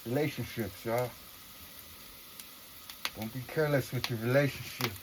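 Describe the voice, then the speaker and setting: A woman's voice: extremely low pitch, steady tone, moderate pace, noisy background. A woman talking casually to viewers in an earnest, reflective monologue, with slangy, profane phrasing. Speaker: vlogger.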